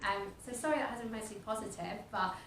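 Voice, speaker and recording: A woman speaks candidly and conversationally to an audience. She is heard from a distance in a roomy hall, with clear noise behind her. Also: mid-range voice, fast talker, a bit bright. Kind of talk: candid speech. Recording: echoey hall from far off.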